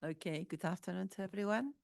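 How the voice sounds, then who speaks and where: A woman's voice: lowish voice, fast, vocal fry, darkish tone, no room sound, studio quality. A woman from the Basque Country, a teacher educator, introducing herself on a panel with hesitant restarts. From Basque Country, Spain. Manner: hesitant, restarting words, many fillers.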